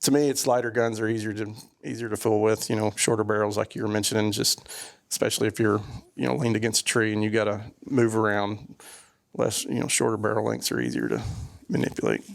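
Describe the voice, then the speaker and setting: A man's voice: fairly low pitch, fast talker, creaky. A man on a casual panel, rambling in an easygoing way with frequent restarts. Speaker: panel guest.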